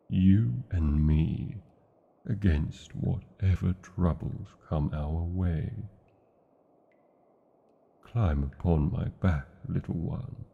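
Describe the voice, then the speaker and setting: A British man playing an old, tender male dragon in a fantasy ASMR roleplay, speaking slowly and formally in a husky, gravelly voice. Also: muffled recording, exaggerated voice. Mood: resolute, protective. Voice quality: husky, gravelly, dark low voice. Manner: slow, deliberate, formal phrasing.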